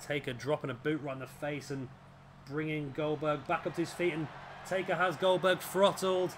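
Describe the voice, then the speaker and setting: Hoarse, higher-pitched man commentating. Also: fairly even intonation, normal speed. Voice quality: raspy. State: hoarse, voice fading. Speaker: host and commentator of a gaming series. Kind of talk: wrestling video game commentary. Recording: clearly noisy background.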